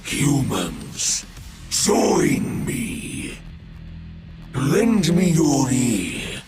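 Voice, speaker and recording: Game villain, menacing machine creature: very slow, gravelly, wildly swooping delivery, music underneath. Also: male voice, mid-range voice, wildly swooping pitch, full character voice. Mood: cold, theatrical. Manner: slow, drawn-out, gloating, theatrical delivery. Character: sadistic male monster machine, menacing, theatrical, relishes pain. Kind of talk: dramatic video game voice acting.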